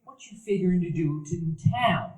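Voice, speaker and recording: A woman's voice: lowish voice, expressive, a little fast, darkish tone, close to an everyday voice. A woman playing a bigger boy bully in a live radio play, sneering and taunting. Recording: echoey live theater hall.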